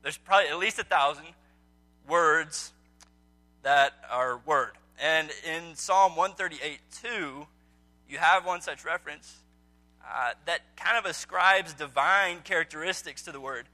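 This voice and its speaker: A man's voice: a little high, measured pace, bright, forceful tone, low-quality recording. A man leading an evening Bible study, talking casually to his group, asking them questions with frequent ums. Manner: conversational, quizzes listeners, frequent ums. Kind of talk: casual teaching talk to a small group. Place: indoor meeting room with a group.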